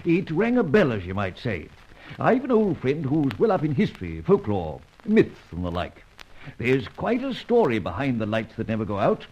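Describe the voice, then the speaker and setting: An actor playing an older, blustery British intelligence chief in a radio drama, speaking emphatically with a British accent. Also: male voice, mid-range voice, huge pitch swings, moderate pace, darkish tone, breathy edge, highs cut off. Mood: confiding. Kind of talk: old-time radio drama acting.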